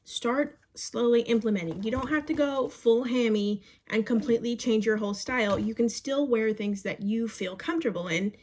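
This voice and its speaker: A woman's voice: fairly high pitch, normal speed, hardly any pauses, darkish tone, slight background noise. A young woman around twenty giving chatty, encouraging advice to her phone camera, calling her viewers "babes". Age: young adult. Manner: chatty and encouraging, says "babes" and "you guys".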